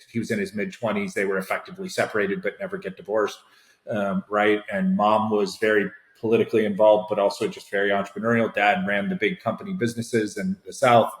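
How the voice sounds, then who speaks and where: A man's voice: lowish voice, roomy sound. A man hosting a podcast, chatting in a rambling, conversational way and affirming his guest. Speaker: podcast host. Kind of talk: casual interview chat.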